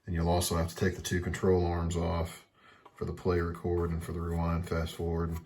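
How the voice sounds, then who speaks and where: Low-pitched monotone man explaining a repair. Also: brisk, a little hiss or hum. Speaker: repair video host. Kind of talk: casual hands-on repair tutorial.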